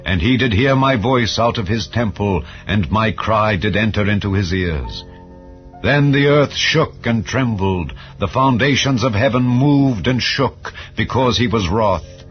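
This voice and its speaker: A man narrating scripture in a solemn, dramatized style, with measured, declamatory delivery of archaic English. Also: fairly low pitch, expressive. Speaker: audiobook Bible narrator. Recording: dull, lo-fi audio under music. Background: background music.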